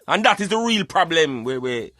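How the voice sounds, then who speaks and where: A Jamaican man hosting a casual talk show in patois, animated and emphatic as he addresses his listeners. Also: a little high, moderate pace, dry recording, soft background music. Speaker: radio show host.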